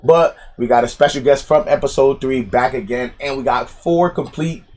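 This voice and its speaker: A man's voice: medium pitch, normal speed, darkish tone. Guy hosting a podcast, mid-range voice, loose slangy talk. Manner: informal slangy delivery. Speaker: podcast host. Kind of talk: casual group podcast hosting.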